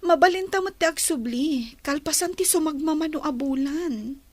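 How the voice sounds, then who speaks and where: A woman's voice: high voice, normal speed, dry recording, lightly acted. A woman playing an ailing, pleading young daughter in a radio drama, with a Filipino accent. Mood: plaintive, resigned. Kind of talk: Ilocano radio drama acting.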